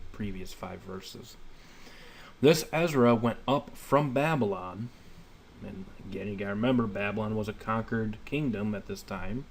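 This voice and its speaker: A man in his thirties giving an informal, plainspoken Bible study lesson, explaining step by step. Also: lowish voice. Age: 30s. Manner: plainspoken, step-by-step, repeatedly checking understanding. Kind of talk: informal explanatory lecture with scripture reading. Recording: noisy homemade voice-over recording.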